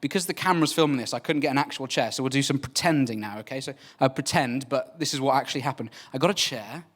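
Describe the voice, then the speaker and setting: A man's voice: medium pitch, fast. Welsh-born man, echoey and distant. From Wales. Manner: chatty, self-mocking, many fillers, addresses the audience as folks. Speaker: preacher. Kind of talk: humorous storytelling talk. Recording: echoey hall from far off, faint noise.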